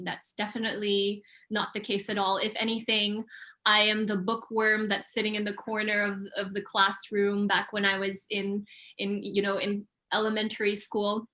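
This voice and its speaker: A young woman from the Philippines, a pageant contestant answering interview questions over a video call in an articulate, measured way, thinking aloud with small fillers. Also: mid-range voice, a bit bright. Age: young adult.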